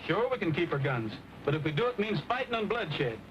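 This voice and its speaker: Earnest western hero, slightly high voice, urgent delivery, muffled vintage soundtrack. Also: male voice, big pitch swings, a little fast. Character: earnest, upright young western cowboy hero. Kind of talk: 1940s western film acting. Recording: muffled, noisy old film soundtrack.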